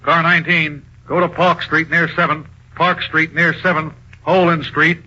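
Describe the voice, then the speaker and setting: An actor playing a dutiful, efficient police dispatcher with clipped, official delivery in a vintage radio crime drama. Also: male voice, a little high, level tone, moderate pace, pushed and bright. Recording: muffled old-time radio recording.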